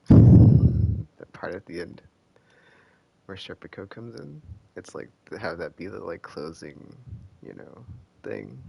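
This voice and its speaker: Very deep, creaky-voiced rambling male streamer. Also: warm tone, a little hiss or hum, low-quality recording. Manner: rambling, halting, thinking aloud with trailing sentences. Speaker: game streamer. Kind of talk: casual live stream commentary.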